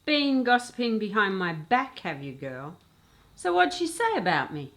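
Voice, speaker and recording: Woman doing a sharp-tongued elderly mother, radio soap, blunt, grumbling, slow delivery, swinging intonation. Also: medium pitch, big pitch swings. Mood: scornful. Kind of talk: comic radio soap acting.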